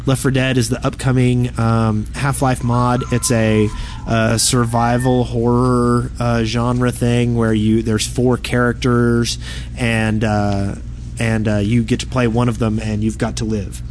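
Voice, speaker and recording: A man's voice: mid-range voice, level tone, measured pace, a little mellow, a little hiss or hum. A man co-hosting a podcast in casual chat, recorded on a convention floor. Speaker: podcast co-host.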